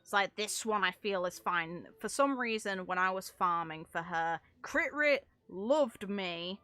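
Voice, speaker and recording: A woman's voice: medium pitch, lively intonation, a little slow, pushed and bright. A woman game streamer giving casual, rambling livestream commentary, explaining things at length with casual swearing.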